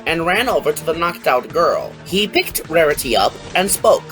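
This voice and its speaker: A woman's voice: deep voice, very animated, moderate pace, low-quality recording. A woman narrating a scripted fan fiction story as its storyteller. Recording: faint music behind the voice.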